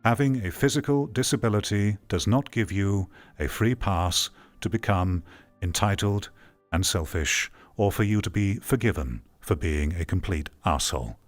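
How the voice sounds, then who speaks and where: A man's voice: fairly low pitch, lively intonation, normal speed, soft background music. A man giving blunt, opinionated live commentary as a streamer, ranting and sometimes swearing.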